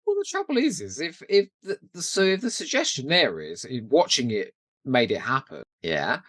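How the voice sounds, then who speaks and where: A British man co-hosting a podcast in casual, expressive and emphatic conversation. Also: mid-range voice, moderate pace, no room sound, clean studio sound. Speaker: podcast co-host. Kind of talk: casual chat.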